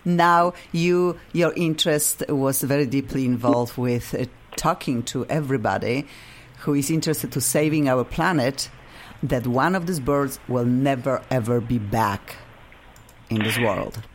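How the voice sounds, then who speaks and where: A woman from Poland with a Polish accent, co-hosting a radio talk show in animated, broken English. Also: extremely low pitch, unhurried. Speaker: co-host.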